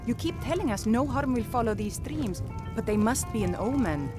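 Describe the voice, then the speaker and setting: A woman plays a worried townswoman in a fantasy video game. She has a fairly high voice with a doubting edge. Music is heard behind her. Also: lively intonation, normal speed, natural voice.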